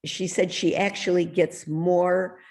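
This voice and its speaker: An audience member on a video call asking a question haltingly, with many pauses. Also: medium pitch, flat delivery, vocal fry, darkish tone.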